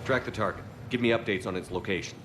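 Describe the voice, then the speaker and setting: A man's voice: lowish voice, fast talker, big-room sound, noisy background, lightly acted. An actor playing a terse, authoritative male squad leader in a video game. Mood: commanding. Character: gruff, commanding male soldier squad leader. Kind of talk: video game cutscene acting.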